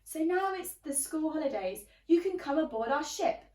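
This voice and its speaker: A woman's voice: high-pitched, lively intonation, moderate pace, a little mellow, recorded from a distance. A woman voicing a friendly young storybook pirate boy, earnest and confiding. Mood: earnest, hopeful. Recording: roomy, echoey, away from the mic.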